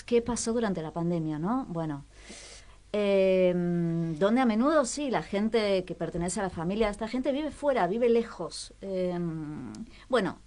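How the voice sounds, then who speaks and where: A woman's voice: on the high side, darkish tone. Chatty woman radio host. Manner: chatty, hesitant with frequent fillers. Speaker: radio culture segment host. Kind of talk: casual radio commentary.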